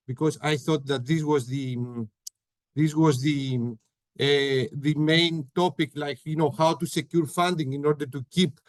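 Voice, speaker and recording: A man's voice: fairly high pitch, no room sound. A man with a Greek accent, a university professor, opening a panel talk in a friendly, self-deprecating way. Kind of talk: virtual panel presentation.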